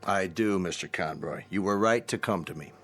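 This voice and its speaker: An actor playing a calm manager of an old folks' home in a radio drama, speaking in a patient, placating way. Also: male voice, low-pitched, moderate pace, soft background music. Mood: understanding.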